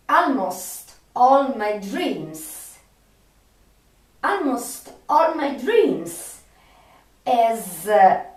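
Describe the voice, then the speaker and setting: A woman who is not a native English speaker, talking unscripted to viewers as a video host and searching haltingly for her words. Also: medium pitch. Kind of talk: unscripted talk to viewers about a favorite film. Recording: roomy echo, distant microphone.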